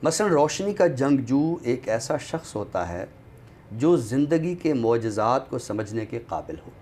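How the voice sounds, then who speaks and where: A man with a Pakistani accent discussing books in a deliberate, scholarly way, often pausing mid-sentence. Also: mid-range voice, darkish tone. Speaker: literary show presenter. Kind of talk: book discussion in Urdu literary talk. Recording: noticeable background noise.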